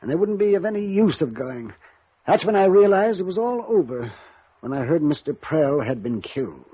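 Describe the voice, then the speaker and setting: A man playing a perturbed, conscience-stricken older gentleman in radio drama, speaking in a formal, courtly and hesitant way. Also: fairly high pitch, big pitch swings, normal speed, husky, no echo. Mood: resigned, regretful. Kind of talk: 1950s radio drama acting. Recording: dull, lo-fi vintage radio recording.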